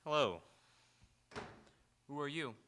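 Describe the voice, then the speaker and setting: A man acts a sardonic magazine writer in radio theatre. The pitch is highish and swings widely, the tone sharp and full of protest. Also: lively intonation, slow pace, a little hiss or hum, exaggerated voice. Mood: indignant, wary. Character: indignant, sarcastic magazine writer. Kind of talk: live radio drama acting. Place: auditorium stage, live radio theatre.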